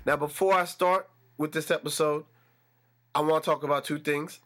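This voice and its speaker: Male podcast host, stuffy nasal voice, a touch higher-pitched, talks himself up into excitement. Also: moderate pace, soft background music. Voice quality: slightly nasal. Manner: animated, builds excitement with repetition. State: congested. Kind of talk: solo sports podcast talk. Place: room with a fan running.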